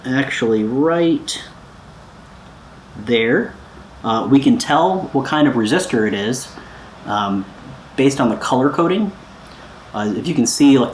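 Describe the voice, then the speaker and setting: A man talking casually and informally as he hosts a hands-on DIY electronics tutorial, easygoing and a little self-deprecating. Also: medium pitch. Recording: echoey room, some background noise.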